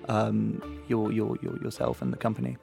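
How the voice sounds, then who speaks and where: A man's voice: on the low side, very animated, moderate pace, creaky, a little mellow, faint music behind. A man hosting a business podcast interview, stumbling and repeating words as he asks questions. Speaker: podcast host.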